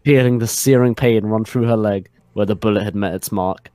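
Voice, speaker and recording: A man reads fan fiction aloud as a narrator, in a fairly low voice. The sound is close and dry, and the delivery is mock-solemn, like a spoof dramatic reading. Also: fairly quick, dark tone, no room sound.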